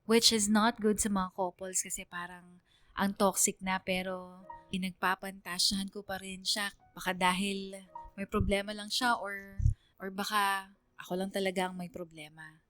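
A woman's voice: mid-range voice, little pitch movement, moderate pace, a bit bright, no echo, soft background music, close to an everyday voice. A woman playing a troubled young student who narrates her romance in a confiding, reflective way, with a Filipino accent. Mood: resigned. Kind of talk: scripted first-person radio drama narration.

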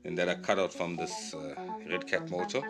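A man with a deep, low voice talks casually and off the cuff, like a hobbyist host showing things hands-on. His delivery is informal and a little rambling. Very loud electronic music plays behind him. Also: flat delivery, normal speed. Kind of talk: casual hands-on product review.

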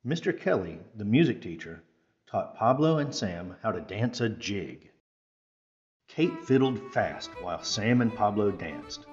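A man reading a children's picture book aloud with measured, careful phrasing. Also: lowish voice, big pitch swings, darkish tone, highs cut off, soft background music. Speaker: storytime reader.

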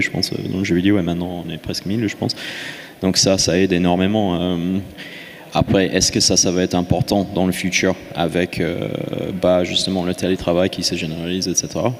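A man's voice: deep voice, gravelly. A man with an Australian accent, speaking as a panelist on a conference stage with many hesitant fillers. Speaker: studio head, panelist. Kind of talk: panel discussion. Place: conference stage in a large hall. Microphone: handheld microphone. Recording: reverberant hall, faint ambience.

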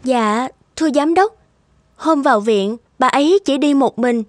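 A woman voice-acting polite, gentle young women in an animated moral tale. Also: high voice, unhurried, dry recording, clean studio sound. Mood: deferential. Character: polite young women: gentle daughter-in-law, dutiful care worker.

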